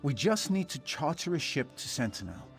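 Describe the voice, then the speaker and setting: A man's voice: on the high side, very animated, normal speed, soft background music. A man playing a haughty, aggrieved scholar and artificer in a video game, wordy and indignant. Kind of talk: video game character dialogue.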